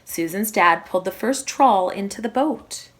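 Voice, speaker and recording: Lady reading a kids book out loud, really animated pitch, teacher style, stresses words for little ones. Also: normal speed. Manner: expressive, emphatic phrasing for young children. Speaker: primary school teacher reading aloud. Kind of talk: children's storybook read-aloud.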